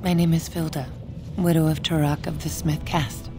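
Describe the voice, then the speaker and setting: A woman's voice: low-pitched, little pitch movement, normal speed, close to an everyday voice. A woman voicing a grieving dwarf widow. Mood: mournful. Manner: mournful, solemn. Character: grieving older dwarf widow praying for lost son. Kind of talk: video game voice acting. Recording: game audio with music underneath.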